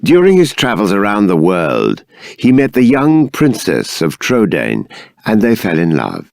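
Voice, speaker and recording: A man playing a dignified, stern but fair middle-aged king in a fantasy video game, speaking in a formal, measured, theatrical way. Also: on the low side, huge pitch swings, creaky, a little mellow, dry recording, clean studio sound, heavily acted. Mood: reflective, earnest. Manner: regal, formal, measured, deliberate. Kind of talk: theatrical scripted game dialogue.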